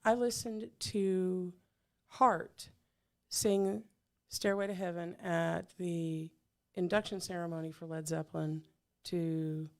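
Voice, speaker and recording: A woman's voice: on the low side, steady tone, slow pace. A middle-aged woman hosting an interview, chatting casually and rambling with many fillers and restarts. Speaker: podcast host.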